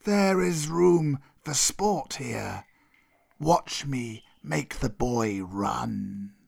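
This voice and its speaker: Ancient menacing cobra, agonizingly slow. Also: male voice, mid-range voice, lively intonation, creaky, a little mellow, exaggerated voice. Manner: slow, drawn-out, ominous delivery. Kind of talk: storybook character voice.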